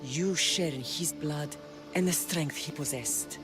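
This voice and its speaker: An actress playing a mother who gravely tells her young daughter about the family's heroic bloodline. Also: low voice, over music. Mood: solemn. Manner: solemn, measured storytelling. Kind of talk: game cutscene acting. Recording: loud orchestral score behind.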